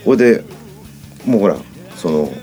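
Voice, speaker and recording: A man's voice: deep voice, lively intonation, moderate pace, mellow, faint music behind. A middle-aged man chatting casually and telling stories in a blunt, colloquial way on a studio podcast. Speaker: podcast host and musician. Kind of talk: casual chat.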